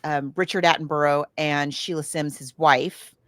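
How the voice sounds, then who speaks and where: A woman's voice: deep voice, fairly even intonation, normal speed, fairly bright tone, no room sound, studio quality. A woman co-hosting a podcast, chatting casually about films in a chatty, emphatic way. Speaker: podcast co-host. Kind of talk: casual film discussion chat.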